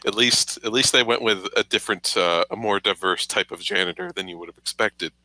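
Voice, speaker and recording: A man's voice: lowish voice, a little fast, pushed and bright, low-quality recording. A man chatting casually on a podcast in a wry, tongue-in-cheek way. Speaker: podcast panelist. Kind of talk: casual chat.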